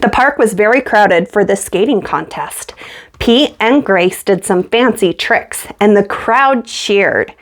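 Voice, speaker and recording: A woman's voice: medium pitch, expressive, slow. A woman reading a children's picture book aloud as a storyteller, acting out the dialogue as she goes.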